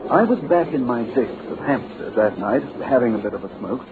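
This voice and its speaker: A man's voice: medium pitch, lively intonation, fairly quick, close to an everyday voice. A man with an English accent playing a chatty, earnest young London clerk in an old-time radio drama. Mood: pleased. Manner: chatty, polite, slangy. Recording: tinny old radio recording with hiss.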